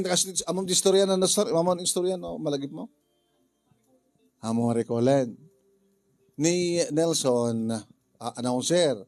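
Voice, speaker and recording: A man with an Ilocano Filipino accent talking casually through a drama story in a halting, rambling, jokey way. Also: medium pitch, mellow, no room sound. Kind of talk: casual spoken story briefing.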